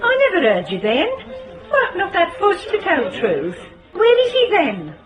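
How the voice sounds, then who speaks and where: An actress playing a bossy, chatty, jealous British wife out dancing in a radio drama. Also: female voice, extremely high pitch, wildly swooping pitch, moderate pace, full character voice. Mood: gossipy. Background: music and busy dance hall crowd.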